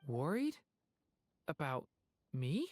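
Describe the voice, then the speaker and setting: A woman voicing a gentle, apologetic young boy in a video game, speaking softly, hesitantly and earnestly. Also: sits low, big pitch swings, slow pace, dry recording, full character voice. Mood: apologetic, touched.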